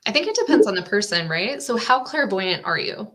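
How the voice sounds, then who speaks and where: A woman's voice: medium pitch, big pitch swings, a little fast, a bit bright. A woman guest on an interview podcast, a coach, talking in an earnest, reflective, explanatory way. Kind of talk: casual interview chat.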